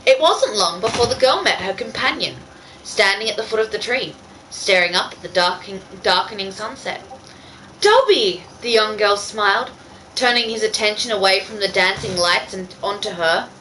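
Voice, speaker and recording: Bright-voiced woman reading fan fiction aloud. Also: medium pitch, moderate pace, slight background noise, low-quality recording. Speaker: reader. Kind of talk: amateur fan fiction reading with casual banter.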